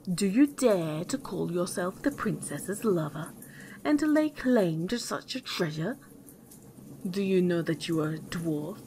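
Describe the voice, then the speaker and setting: A woman's voice: on the low side, creaky, mellow, slight background noise, poor audio. A woman voicing a brave young king in a fairy tale, commanding and contemptuous. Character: brave young king, indignant, scornful suitor.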